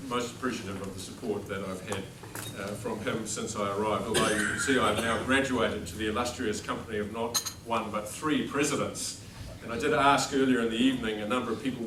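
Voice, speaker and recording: A man's voice: fairly low pitch, fairly bright tone. A middle-aged man with a New Zealand accent, a headmaster giving a humorous, self-deprecating after-dinner speech without a microphone at a formal dinner. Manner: self-deprecating, dry jokes, speaks without a microphone to a room. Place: large dining hall at a formal dinner. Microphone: no microphone, recorded from across the room. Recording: distant echoey hall with background noise.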